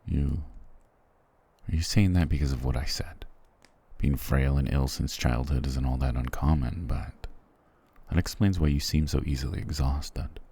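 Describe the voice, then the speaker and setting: A man playing a cruel, proud, weary soldier prince in an ASMR fantasy roleplay, curt and condescending, with a gravelly, husky voice. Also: extremely low pitch, normal speed, mellow, exaggerated voice. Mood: cold, scornful. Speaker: voice actor in audio roleplay.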